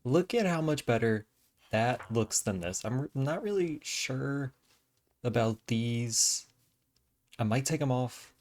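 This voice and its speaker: A man's voice: mid-range voice, slow. Easygoing man narrating his game build. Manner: relaxed, easygoing self-talk while building. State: slightly raspy from allergies. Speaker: gaming channel host. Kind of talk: casual gameplay commentary. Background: faint background music.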